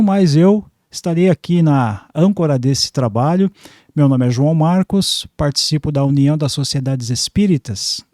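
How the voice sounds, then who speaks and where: Mellow-voiced São show host. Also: male voice, on the high side, no room sound, studio quality. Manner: warm formal welcomes and brief affirmations. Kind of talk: interview hosting.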